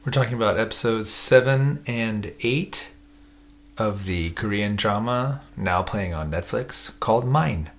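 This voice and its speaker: Low gravelly guy co-hosting a podcast, relaxed and chatty. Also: unhurried. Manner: relaxed, chatty, self-deprecating. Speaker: podcast co-host. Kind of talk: casual chat.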